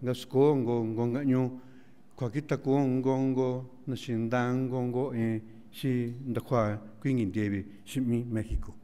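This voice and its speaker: A man's voice: medium pitch, fairly even intonation, slow pace, warm tone, smooth. A man whose first language is Mazatec giving an earnest formal opening speech, piling up lists with many fillers. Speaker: director of a national institute, speaker. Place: auditorium. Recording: lecture hall with long reverb.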